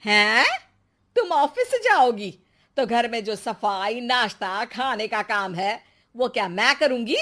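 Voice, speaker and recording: A nagging middle-aged mother-in-law acted by a woman from a cartoon family drama. Her voice is forceful and bright, slightly high, and she speaks slowly with demanding sarcasm. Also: lively intonation, full character voice. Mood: angry, sarcastic. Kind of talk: animated moral story voice acting.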